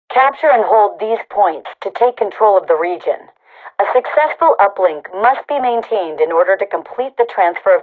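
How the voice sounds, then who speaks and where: Tinny radio filter, female military commander, mid-pitched, clipped, authoritative, no-nonsense, video game announcer. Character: brisk, no-nonsense female military overseer rallying soldiers. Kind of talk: scripted game announcements and briefings. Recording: tinny narrowband radio transmission.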